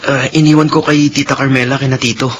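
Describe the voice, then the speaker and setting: A man's voice: medium pitch, level tone, quick pace, close to an everyday voice. A man playing a worried young son. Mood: anxious. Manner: worried, earnest. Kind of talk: classic radio drama acting. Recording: hissy old radio broadcast.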